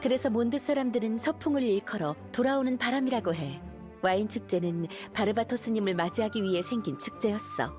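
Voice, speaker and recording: A woman's voice: on the high side, brisk, music in the background, natural voice. A woman playing a dutiful young knight commander in an anime game, formal, earnest and authoritative. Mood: earnest, explaining.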